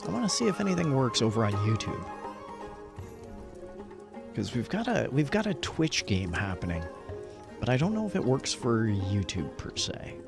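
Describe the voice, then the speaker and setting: Animated man with a gravelly, lowish voice. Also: normal speed, pauses often, over music. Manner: upbeat, chatty, muttering to himself while working. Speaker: livestreamer and host. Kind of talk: casual gaming livestream chat while setting up.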